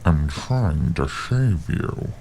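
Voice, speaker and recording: A man playing a mysterious, old-fashioned rescuer in an audio drama, gravelly and commanding, urging and patronizing like a stern elder. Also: rumbling low, very animated, extremely slow, warm tone, faint music behind, full character voice. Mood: urgent, commanding.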